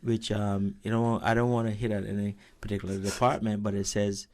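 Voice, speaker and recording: A man's voice: on the low side, level tone, brisk, a little mellow. A man speaking as a guest on a radio talk show, hesitant, with many stammers and restarts. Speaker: studio guest.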